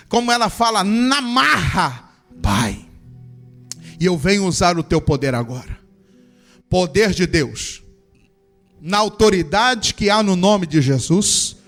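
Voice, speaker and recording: A man's voice: sits high, fairly bright tone. A man, a pastor, leading a church in a fervent healing prayer and commanding sickness to leave. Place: church service.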